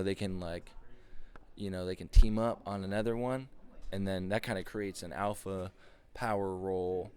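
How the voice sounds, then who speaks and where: Deep-voiced young man rambling casually. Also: darkish tone. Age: 20s. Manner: loose, meandering, swears casually. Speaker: podcast host. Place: airport.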